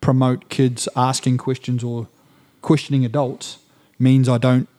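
A man hosts a casual podcast conversation in a mid-pitched voice. He talks reflectively and thinks aloud, often restarting his sentences. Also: little pitch movement, a little slow, mellow. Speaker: podcast host.

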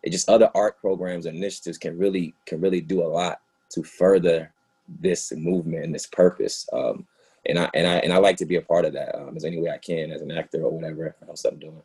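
Deep mellow guy, husky, earnest actor chatting, stumbles along a bit. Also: level tone. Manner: earnest, halting. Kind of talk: casual discussion.

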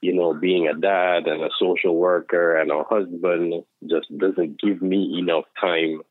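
A deep-voiced man talks in an earnest, self-assured way, with lots of fillers. He comes through a tinny, phone-like line. The sound is dry, with no room echo. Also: little pitch movement, measured pace. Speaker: guest, social worker. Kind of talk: casual podcast chat.

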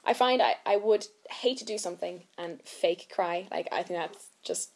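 A woman's voice: fairly low pitch, moderate pace, a little mellow. Young Irish woman in casual interview. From Ireland. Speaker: actress, interview guest.

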